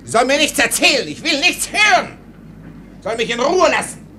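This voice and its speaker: An exasperated army lieutenant in a radio drama. His voice is forceful and swings widely as he barks and sputters with a short temper. There is faint noise behind him. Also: extremely high pitch, sing-song, a little fast, full character voice. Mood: furious, commanding. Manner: barking, sputtering, commanding. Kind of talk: German radio play acting.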